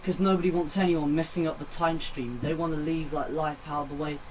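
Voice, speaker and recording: A man's voice: on the high side, flat delivery, a little fast, slight background noise, low-quality recording. A man giving casual let's play commentary, explaining and rephrasing himself as he goes, with game music behind. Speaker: gaming commentator and player.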